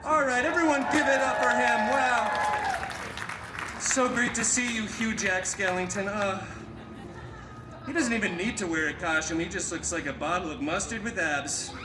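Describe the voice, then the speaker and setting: A man's voice: high voice, normal speed, crowd noise, noisy background, lightly acted. A man playing a wisecracking, flamboyant superhero who tells stories in a chatty, theatrical, sarcastic way at a live comedy show. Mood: breezy, joking. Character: wisecracking, flamboyant adult male superhero storyteller. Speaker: theme park show performer. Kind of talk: live comic storytelling stage show. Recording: echoey live amplified show with music.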